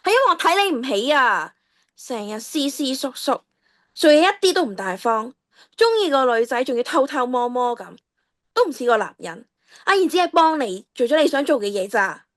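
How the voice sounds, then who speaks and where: A woman plays a feisty teenage schoolgirl with a very high, swooping voice and a Hong Kong Cantonese accent. She is blunt and scolding, in a drily recorded audio drama. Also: sing-song, normal speed, fairly bright tone, dry recording, studio quality. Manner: forthright, challenging.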